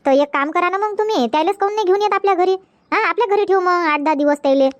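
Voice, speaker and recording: A woman voicing a young married woman in a comedy cartoon, talking quickly and chattily with a Varhadi Marathi accent. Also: very high-pitched, no room sound, lo-fi sound. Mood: persuading. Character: young wife Megha, also playing Preeti on the phone.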